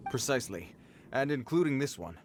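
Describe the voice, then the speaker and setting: An actor voices a male soldier on patrol in a video game, mid-pitched and formal. He is cautious and dutiful yet slightly awkward, with faint ambient noise behind him. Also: expressive, a little fast, natural voice. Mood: stern warning. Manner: formal, hesitant.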